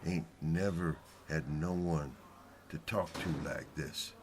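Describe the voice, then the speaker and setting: A man giving personal testimony in short, halting, plain-spoken vernacular phrases. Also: very deep voice, steady tone, background noise. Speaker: former prisoner giving testimony.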